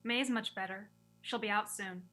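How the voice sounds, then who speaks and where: A woman's voice: medium pitch, normal speed, sharp tone, lightly acted. A woman acting a caring but firm young woman in a radio play script reading. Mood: tender, reflective.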